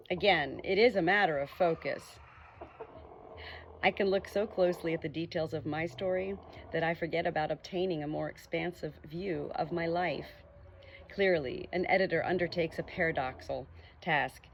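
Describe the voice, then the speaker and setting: A woman's voice: medium pitch, dull audio, slight background noise. A woman reading a nonfiction book aloud as an audiobook. She reads earnestly and lifts her voice on the questions. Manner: earnest, rising emphasis on questions. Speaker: audiobook reader.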